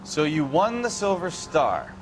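An actor playing a cynical, needling American veteran traffic cop in a video game cutscene, sardonic and wisecracking. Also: male voice, a little high, sing-song, measured pace. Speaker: police detective.

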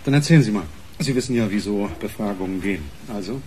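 A man's voice: fairly low pitch, fast talker, a little mellow, slight background noise, close to an everyday voice, rough recording. A man playing a pressing, impatient police interrogator in a radio crime drama, dry and insistent.

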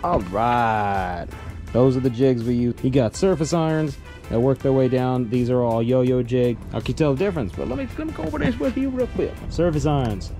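A man's voice: medium pitch, moderate pace. Laid-back man over music. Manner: laid-back, joking, loud and animated. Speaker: fishing vlogger. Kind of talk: casual show-and-tell vlog. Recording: music playing under voice. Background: background music.

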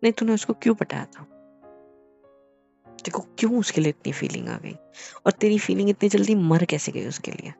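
Creaky-voiced, teasing young man acting in phone drama. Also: sits high, huge pitch swings, normal speed, pauses often, a little mellow, full character voice. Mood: insistent, probing. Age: young adult. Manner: teasing, insistent questioning. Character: teasing, probing young male best friend. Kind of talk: naturalistic phone-call relationship drama. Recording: muffled lo-fi audio under music.

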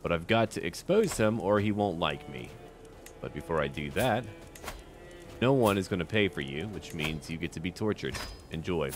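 Male gameplay host, low voice that moves around freely, chatting to himself and in-game characters over music. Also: expressive, normal speed. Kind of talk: casual playthrough commentary on a strategy game.

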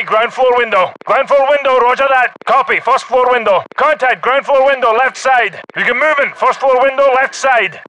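A man voicing a gruff, urgent special forces soldier in a military shooter game, giving clipped, terse combat callouts. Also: extremely high pitch, pushed and bright, poor audio. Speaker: video game voice actor.

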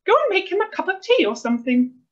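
Storybook mum, played by a woman: high, squeaky, excitable and bossy, frantic scolding delivery, slightly lo-fi sound. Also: moderate pace. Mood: cross. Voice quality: squeaky, shrill. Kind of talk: children's storybook character voice.

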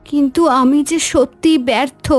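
A woman's voice: sits high, steady tone, measured pace, faint music behind. A woman voicing a sorrowful childless wife in a cartoon, plaintive and lamenting in a melodramatic way. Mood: anguished. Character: sorrowful childless wife, feeling she has failed.

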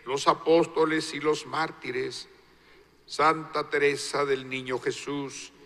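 Slow priest, raised pitch. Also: male voice, sharp tone. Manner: slow, measured recitation. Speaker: concelebrating priest. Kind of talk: reciting liturgical prayers. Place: large church. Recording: long church reverb.

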